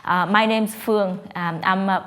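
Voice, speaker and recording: A woman's voice: mid-range voice, steady tone, moderate pace, a bit bright. A woman from Vietnam with a Vietnamese accent, a startup co-founder speaking on a panel, hesitant with many fillers and restarts. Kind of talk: prepared panel talk.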